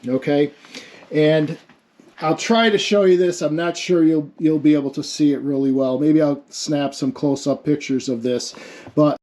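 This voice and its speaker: Mellow-voiced man, casual technical explainer. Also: fairly high pitch, steady tone, moderate pace. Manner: casual, self-deprecating, thinks aloud. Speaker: amateur radio experimenter demonstrating a homemade setup. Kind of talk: informal hands-on technical explainer.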